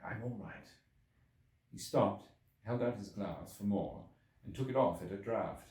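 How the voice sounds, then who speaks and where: A man reading a literary audiobook expressively, with measured, theatrical phrasing. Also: fairly low pitch, warm tone. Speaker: audiobook reader. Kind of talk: expressive scripted literary narration. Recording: echoey room, distant from the mic.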